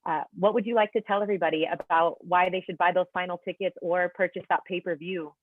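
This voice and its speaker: A woman's voice: fairly low pitch, steady tone, quick pace, no echo. Woman moderator on a tinny phone-like line. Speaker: press call moderator. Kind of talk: polite event moderation. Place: remote media conference call.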